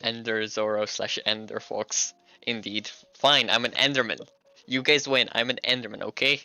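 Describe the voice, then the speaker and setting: A man's voice: mid-range voice, steady tone, moderate pace, sharp tone, no room sound, faint music behind. A man from Hungary, a native Hungarian speaker, chatting casually on a livestream while he works, thinking aloud and laughing often. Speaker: streamer and game developer.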